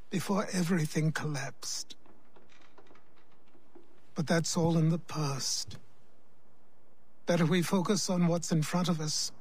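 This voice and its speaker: Higher-pitched, weary old mentor. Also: male voice, slightly rough. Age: older. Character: weary, reserved old mentor of a young man. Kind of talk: video game cutscene acting. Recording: music behind the voice. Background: music.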